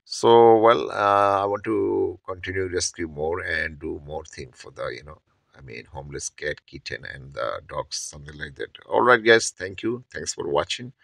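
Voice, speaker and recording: A man narrating his kitten rescue vlog from behind the camera, speaking halting English full of fillers and restarts, outdoors at a work site. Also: low-pitched, vocal fry, a bit bright, no room sound. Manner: halting non-native English with many fillers. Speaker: cat rescuer and vlogger. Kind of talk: casual off-camera narration over footage.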